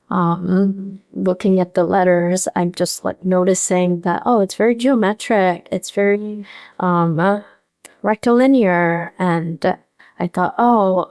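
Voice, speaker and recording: A woman painter, a native Korean speaker, answering interview questions thoughtfully with many pauses and fillers. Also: on the low side, gravelly, a little mellow, clear, resonant tone, no echo, clean studio sound.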